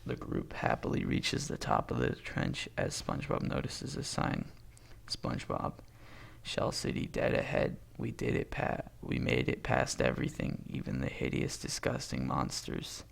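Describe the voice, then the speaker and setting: A husky-voiced man reading a film script aloud, stage directions and dialogue alike, stumbling and correcting himself and breaking off into asides. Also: medium pitch, very animated, moderate pace. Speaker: amateur reader doing a long script read-aloud.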